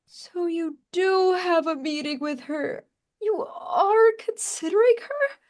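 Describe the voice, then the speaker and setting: Brief: actress for anxious, eager female assistant in a comic play, high-pitched, hesitant, easily flustered. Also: slow pace, a little mellow. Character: timid, flustered, eager-to-please female secretary. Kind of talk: comedy play table read.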